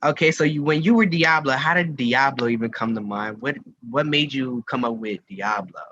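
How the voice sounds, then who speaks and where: A man's voice: medium pitch, normal speed, fairly bright tone. A man hosting a casual music interview, talking in a gushing, effusive way. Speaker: radio host interviewing a musician. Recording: dull lo-fi audio with faint noise.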